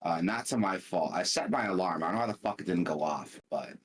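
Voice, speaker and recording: Deep husky young guy on a podcast mic, kinda flat tired-sounding, rambles with like and swearing. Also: fast. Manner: rambling, full of "like" fillers and swearing. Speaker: podcast co-host. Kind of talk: casual chat.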